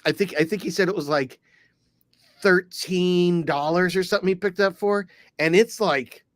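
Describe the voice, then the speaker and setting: A man's voice: sits high, dry recording, studio quality. Rambling middle-aged man from Philly. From Philadelphia. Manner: rambling storyteller, quick asides. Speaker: podcast co-host. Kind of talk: casual podcast chat.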